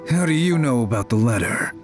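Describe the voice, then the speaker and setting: A man's voice: mid-range voice, animated, fairly quick, over loud music, lightly acted. A man playing a cocky but cowardly petty bandit in a video game, with a smarmy, mocking manner. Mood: mocking, smug. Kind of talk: video game character voice acting.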